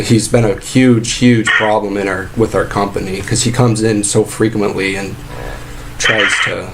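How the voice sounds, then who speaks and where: A man playing an aggrieved fast-food worker making a halting, rambling, repetitive complaint in a casual way. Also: on the low side, close to an everyday voice. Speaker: prank caller posing as a sandwich shop employee. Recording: clearly noisy phone prank recording.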